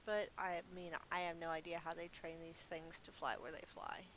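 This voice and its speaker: A woman's voice: fairly low pitch, little pitch movement, moderate pace, a bit bright. Chatty woman gamer with background hiss. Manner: chatty, self-amused, thinking aloud. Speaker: gaming video host. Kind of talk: casual rambling gameplay commentary. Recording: clearly audible background hiss.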